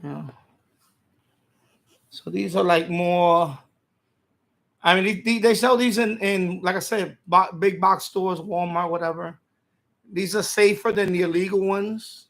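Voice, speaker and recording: A middle-aged man hosting a livestream in casual, rambling chat, halting often and repeating himself informally. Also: high voice, gravelly, fairly bright tone. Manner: halting, repetitive, informal speech. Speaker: livestream host.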